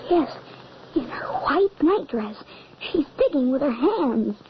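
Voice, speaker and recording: A woman's voice: high voice, very animated, slow pace, full character voice. A woman playing a frightened, vengeful young boy in an old-time radio drama, with breathless, melodramatic delivery. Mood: urgent, alarmed. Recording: dull, noisy old radio recording.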